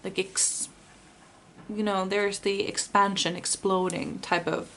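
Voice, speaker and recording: Finnish woman, non-native English, tarot reader; unhurried, earnest, stops to rephrase. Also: medium pitch, flat delivery, a bit bright. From Finland. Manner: slow, searching, rephrases often. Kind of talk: pick-a-card tarot reading.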